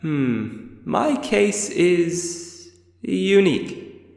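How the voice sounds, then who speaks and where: A man voicing a cunning old undead elf man, who speaks politely and formally with a cool, threatening calm. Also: high-pitched, extremely slow, gravelly, voice pushed up, full character voice. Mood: coolly menacing. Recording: reverberant roomy echo.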